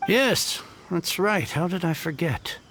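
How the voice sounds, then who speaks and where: An actor playing a despairing, bitter book collector in a video game, with a creaky voice and a dramatic, grandiose way of talking. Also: male voice, sits high, lively intonation, slow, a bit bright, a little hiss or hum, exaggerated voice. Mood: wistful, reverent. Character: despairing, embittered bookish man who lost his collection.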